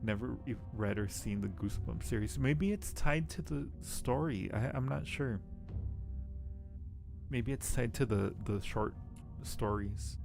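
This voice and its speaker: A man's voice: on the low side, vocal fry. A man hosting a casual game livestream, reading story text aloud and chatting between murmured asides. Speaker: livestream host. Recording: music behind the voice. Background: music.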